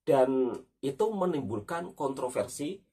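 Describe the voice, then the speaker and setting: A man's voice: fairly high pitch, sing-song, unhurried, mellow, slightly rough, recorded from a distance. A man gives conversational commentary as a host, emphatically repeating his points and often adding tag questions. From Indonesia. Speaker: host of a reaction talk.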